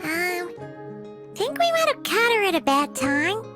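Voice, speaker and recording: A woman voicing a tiny, excitable, childlike fairy companion in a video game, blunt and cheerful. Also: very high-pitched, unhurried, full character voice. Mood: awkward. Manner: speaks of self in third person, exclaims. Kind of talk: video game character acting. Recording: loud music behind.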